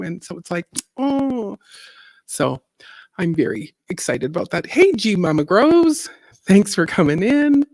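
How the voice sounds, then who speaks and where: A middle-aged woman with a low, deep voice, a singer hosting a casual livestream chat, rambling along with fillers and little laughs. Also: darkish tone, no echo. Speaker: singer and gardening channel host.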